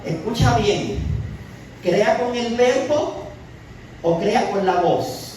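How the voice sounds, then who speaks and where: A man's voice: high voice, slow, a little mellow. A Puerto Rican man with a Puerto Rican accent teaching a weekly Torah portion to a congregation, often asking questions and adding "¿verdad?". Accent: Puerto Rican Spanish. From Puerto Rico. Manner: asks the audience questions and repeats words, often adding a tag ¿verdad?. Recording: very echoey distant room recording with noise.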